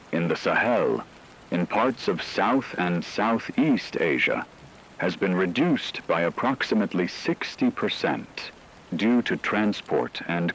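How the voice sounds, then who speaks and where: A man playing a grave radio broadcaster delivering a measured, formal emergency report on a global catastrophe. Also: low-pitched, a bit bright, a little hiss or hum, heavily acted, poor audio.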